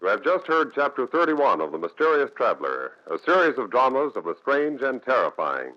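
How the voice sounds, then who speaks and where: A man's voice: mid-range voice, big pitch swings, brisk, bright, forceful tone. A man announcing from a script in 1940s radio style. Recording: muffled 1940s radio recording.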